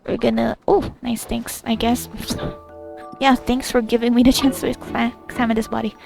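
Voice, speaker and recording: A woman's voice: a little high, level tone, normal speed, creaky, darkish tone. A woman streamer giving casual, reactive gameplay commentary, musing aloud, trailing off and sometimes reading game text. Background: game music.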